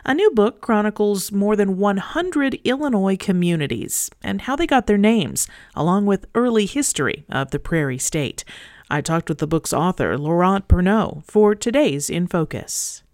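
A woman hosting a public radio interview, speaking in a measured, composed way. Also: mid-range voice, lively intonation, a little mellow, no echo, clean studio sound.

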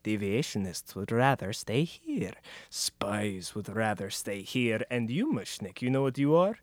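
An actor playing a pompous, sarcastic Soviet official in a comic radio play, officious and emphatic. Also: male voice, on the low side, sing-song, unhurried, no echo, clean studio sound. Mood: scornful, outraged.

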